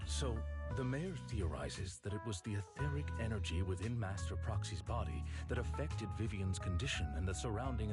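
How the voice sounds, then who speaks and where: A man plays a formal, composed gentleman attendant from a video game. He explains with courteous precision in a fairly low voice, over loud music. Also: lightly acted.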